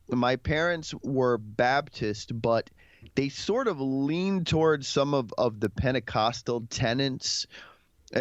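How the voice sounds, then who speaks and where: A man's voice: medium pitch, no echo. Male, thirties, from Texas. Conversational podcast guest, informal delivery, dry and lo-fi recording. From Dallas, Texas, United States. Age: 30s. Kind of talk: conversational interview, telling personal history.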